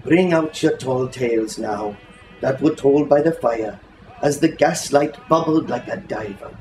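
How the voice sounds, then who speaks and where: A man giving an expressive, lyrical and unhurried reading of a literary story aloud to listeners. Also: mid-range voice. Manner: dramatic, lyrical, unhurried delivery. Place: indoor reading in a bookshop. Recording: roomy echo, faint music behind.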